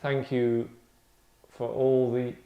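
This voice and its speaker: A man hosting an online table read in casual, wry chat, with a deadpan, mock-formal manner. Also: mid-range voice, slow, vocal fry, muffled recording. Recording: roomy echo.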